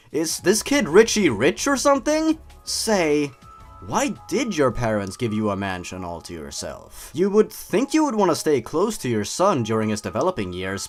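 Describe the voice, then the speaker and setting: Male comedy narrator, theatrical and mocking, hugely animated mid-range delivery, faint music underneath. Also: mid-range voice. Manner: theatrical, mocking, exaggerated emphasis. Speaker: host of a story-reading comedy show. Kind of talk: comedic narration and commentary on a horror story.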